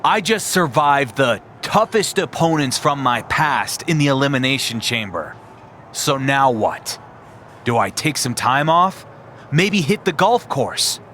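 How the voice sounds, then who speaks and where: A brash young wrestling champion, voiced by a man speaks with easy confidence and a defiant edge, his pitch sitting in the middle. Faint traffic hums behind him. It has the feel of a game cutscene. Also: lively intonation, a little slow, bright, forceful tone. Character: cocky male wrestling champion, young adult. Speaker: player character. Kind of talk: video game voice acting.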